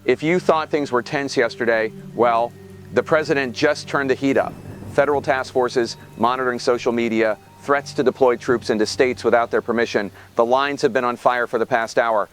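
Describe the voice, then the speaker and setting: A man playing a brisk late-night radio host fielding callers on a talk show. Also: medium pitch, fairly even intonation, a little hiss or hum, natural voice. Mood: measured, probing. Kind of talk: call-in radio show acting.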